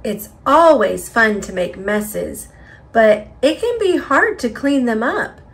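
A woman reading a children's picture book aloud with careful, expressive delivery for young listeners. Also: on the high side, slow pace. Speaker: storybook reader.

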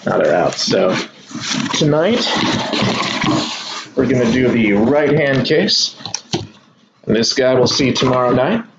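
A man's voice: medium pitch, takes it slowly, pauses often, lo-fi sound, very noisy. A man hosting a live sports card break, talking casually to his viewers as he opens boxes at a table indoors. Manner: relaxed, chatty, addresses viewers as y'all. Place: indoor room at a kitchen table.

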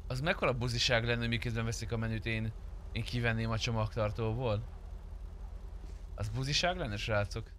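A man's voice: mid-range voice, steady tone, a bit bright. A man streaming a roleplay game, commenting casually and talking to himself in an informal, sweary way. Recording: clear car and siren noise behind.

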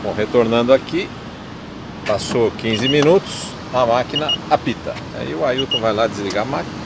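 Man, middle-aged, host, guiding questions, outdoor lot, loud traffic noise behind. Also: mid-range voice, moderate pace, vocal fry. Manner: guiding, asks short questions. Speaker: channel host. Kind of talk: casual on-location demonstration. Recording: loud street and car noise.

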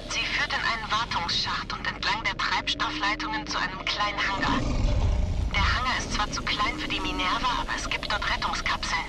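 A woman's voice: medium pitch, fairly even intonation, brisk, natural voice. An actress playing a caring, helpful crewwoman guiding her team remotely in a sci-fi horror radio drama. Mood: focused. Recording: distant, very loud noise behind.

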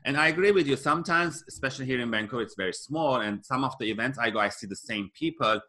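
Bright-voiced man hosting a video call. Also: mid-range voice, quick pace. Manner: brief affirmations, calm sign-off. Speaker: career coach host. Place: room at home with paintings.

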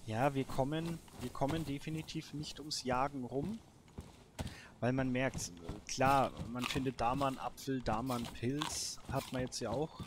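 A man's voice: medium pitch, fairly even intonation, moderate pace, background noise. A man giving casual gameplay commentary, thinking aloud in a colloquial, musing way. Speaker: gamer, let's play host.

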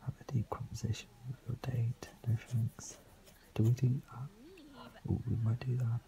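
A young man, slightly croaky, rambling casually as he comments on his gameplay. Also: mid-range voice, little pitch movement, normal speed, background noise. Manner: rambling, chatty, frequent fillers. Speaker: let's play host.

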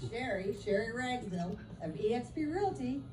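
A woman's voice: a little high, expressive, moderate pace, a little mellow. A middle-aged woman, a real estate agent, reading an upbeat promotional voice-over, with a few small slips. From Florida. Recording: echoey voice-over with clear music. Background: background music.

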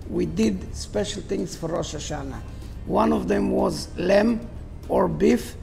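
Older male chef, high creaky voice, hesitant second-language English, restaurant table. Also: darkish tone. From Israel. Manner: halting non-native English, broken grammar. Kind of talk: casual talk to camera. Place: restaurant dining room, indoors.

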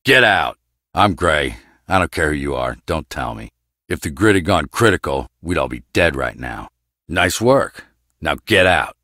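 An actor playing a gruff, guarded, brooding scientist in a video game, with a terse, clipped, deadpan delivery. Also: male voice, a bass voice, a little slow, dry recording, studio quality, full character voice. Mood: curt, commanding.

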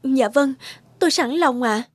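A woman's voice: high voice, a little slow, husky, no room sound. An actress playing an anxious, guilt-ridden young mother in a cartoon, speaking in a fretful, hesitant, emotional way. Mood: subdued, guilty. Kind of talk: animated cartoon dubbing.

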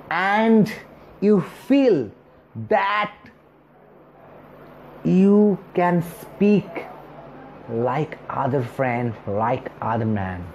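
A man in his thirties, a native Bengali speaker, speaking slowly and earnestly to camera as a speech coach, with long pauses between words. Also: on the high side, darkish tone. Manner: earnest and encouraging, gesturing with both hands. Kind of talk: self-help talk to camera. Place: small indoor room, seated on a chair. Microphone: lapel mic. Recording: slightly dull recording with faint hiss.

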